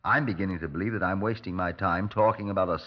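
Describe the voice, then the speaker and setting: A man's voice: low voice, fast talker. An actor playing a dry, skeptical Scotland Yard superintendent in 1950s radio drama style, wry and understated as he questions and narrates. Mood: skeptical, needling. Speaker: detective and narrator. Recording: dull old radio recording.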